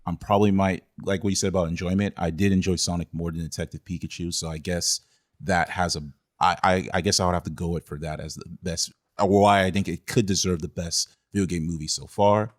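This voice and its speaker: A man's voice: low-pitched, steady tone, fairly quick. A man hosting a casual podcast discussion about films, speaking in a conversational way. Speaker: podcast host.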